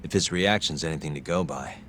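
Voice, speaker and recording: An actor playing a cool, self-assured detective in a video game, speaking in a dry, understated way. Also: male voice, extremely low pitch, brisk, a bit bright, slight background noise. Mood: dry, calm. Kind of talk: English video game voice acting. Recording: music under the voice.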